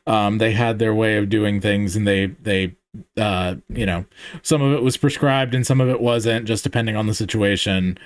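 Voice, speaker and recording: A man's voice: lowish voice, fairly even intonation, normal speed, no room sound. Man thinking aloud on a dry mic. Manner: thinks aloud with many fillers and restarts. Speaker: podcast host. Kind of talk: casual theological discussion.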